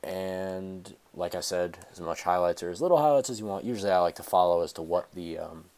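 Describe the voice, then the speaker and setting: A man's voice: deep voice, brisk, darkish tone. A teenage man with a stuffy cold, casually talking through a tutorial on a headset, rambling with fillers and self-corrections. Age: teens. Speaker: tutorial host. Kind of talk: casual screen-recorded tutorial. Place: small room at home, indoors.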